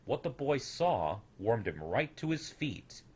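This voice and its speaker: A man reading a rhyming children's tale aloud as an expressive storyteller. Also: medium pitch, slow pace, slightly rough.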